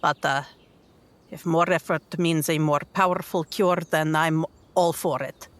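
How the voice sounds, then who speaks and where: Woman playing a slow-spoken, anxious warrior daughter. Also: sits low, steady tone, fairly bright tone, dry recording. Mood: wry. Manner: hesitant, wry, swears. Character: tough, blunt young warrior woman, anxious for her mother.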